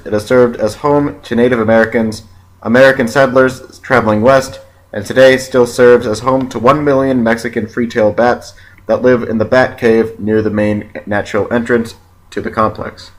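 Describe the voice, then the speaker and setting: A man narrating an educational film from a script in a measured, factual, read-aloud way. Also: mid-range voice, low-quality recording.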